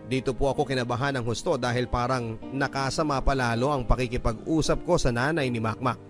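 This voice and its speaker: A man with a Filipino accent narrating a radio drama in a calm, reflective, measured way. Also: medium pitch. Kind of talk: scripted storytelling narration. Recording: soft guitar music underneath.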